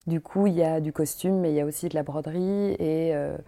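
Chatty thirtysomething woman with a mellow voice. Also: sits low, fairly even intonation, a little fast. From Paris, France. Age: 30s. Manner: chatty, many fillers, laughs easily. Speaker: guest, costume maker and embroiderer. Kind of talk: casual interview chat. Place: her home.

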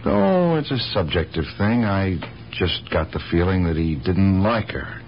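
A man's voice: sits low, expressive, a little slow. A man playing an earnest, persistent police detective in a radio drama, probing and hesitant as he thinks aloud. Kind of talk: naturalistic radio drama acting. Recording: dull, hissy 1970s radio broadcast.

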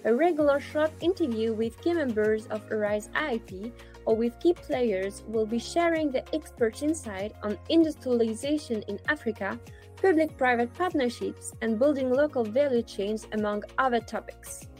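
Woman host reading her intro, fairly high voice, faint music underneath. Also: normal speed, a little mellow. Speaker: podcast host. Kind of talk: scripted podcast introduction.

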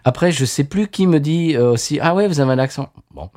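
A man from France co-hosting a casual podcast chat, telling stories in an animated way and acting out other people's lines. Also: a little high, fairly quick. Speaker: podcast co-host. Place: lives in Louisiana.

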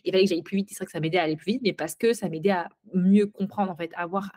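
A woman's voice: lowish voice, steady tone, rapid-fire, mellow. A woman co-hosting a casual book podcast, recorded remotely, talking in a reflective, hesitant way with many fillers. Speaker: podcast co-host. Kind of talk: casual chat.

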